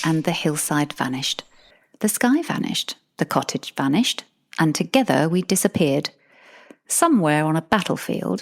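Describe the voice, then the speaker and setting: Low-voiced, dryly composed actress as woman narrator. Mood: calm, dramatic. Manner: measured, dry, deadpan. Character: composed, wry female supernatural narrator dealing with monsters. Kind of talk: scripted first-person fantasy narration.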